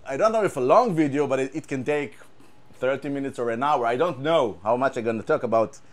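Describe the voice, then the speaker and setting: A man with an Israeli accent, a guitar tech, talking casually and haltingly, repeating words and using many fillers. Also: medium pitch. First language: Hebrew. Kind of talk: casual show-and-tell chat.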